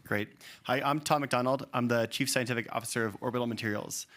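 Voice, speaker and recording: A man's voice: mid-range voice, steady tone, quick pace, fairly bright tone. A man speaking as a chief scientist, introducing his work to a panel audience. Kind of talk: spoken self-introduction.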